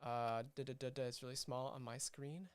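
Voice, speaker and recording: A man hosting a casual livestream, chatting informally and a little haltingly. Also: mid-range voice, steady tone, clear, resonant tone, dry recording. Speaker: livestream host.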